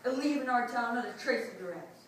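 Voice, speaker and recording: A woman's voice: a little high, a little fast, natural voice. A woman playing a pompous, stingy male town mayor, blustering through verse in readers theatre. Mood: alarmed, commanding. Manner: blustering, emphatic. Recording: distant, very echoey room, faint noise.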